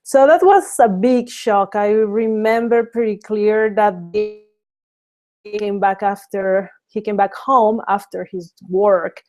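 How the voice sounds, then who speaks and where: Slow-talking woman from Mexico. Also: mid-range voice, a little mellow. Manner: hesitant, many fillers and restarts. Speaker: webinar host. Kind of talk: casual webinar talk.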